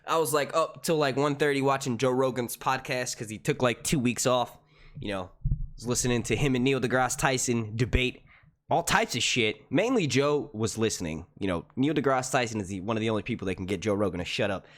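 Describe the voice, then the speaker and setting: A young man hosting a casual live stream at home into a desk microphone, chatty and profane, with a naturally loud, carrying voice. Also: medium pitch, fairly quick. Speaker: YouTube live stream host. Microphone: desk mic.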